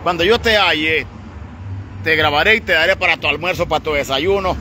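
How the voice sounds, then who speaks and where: Honduran guy scolding someone, kinda high voice, loud traffic all around. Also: fairly quick. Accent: Honduran Spanish. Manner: blunt, lecturing, stern. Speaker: charity channel host filming on the street. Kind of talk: scolding street talk. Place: street at night, outdoors. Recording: noisy night street recording.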